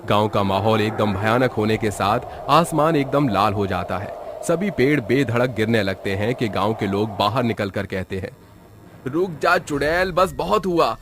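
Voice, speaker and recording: A man's voice: fairly low pitch, moderate pace, hardly any pauses, noisy background. A man reading a scripted horror story as a dramatic narrator.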